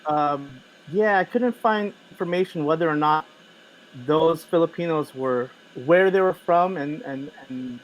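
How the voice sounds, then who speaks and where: A man's voice: a little high, unhurried, low-quality recording. A man co-hosting a casual podcast chat, talking loosely with many fillers and restarts. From United States. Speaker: podcast co-host.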